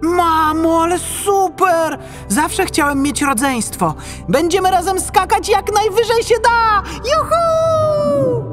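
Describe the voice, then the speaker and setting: A woman voicing an eager, excitable young male humpback whale child in a children's audiobook. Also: extremely high pitch, slow, music in the background, heavily acted. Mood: thrilled. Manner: breathless enthusiasm, pleading.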